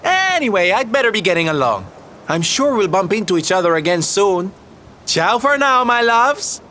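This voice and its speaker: A man's voice: sits high, big pitch swings, moderate pace, sharp tone, a little hiss or hum, full character voice. A man playing a flamboyant, teasing showman in a video game, theatrical, camp and coaxing in manner. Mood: breezy, affectionate.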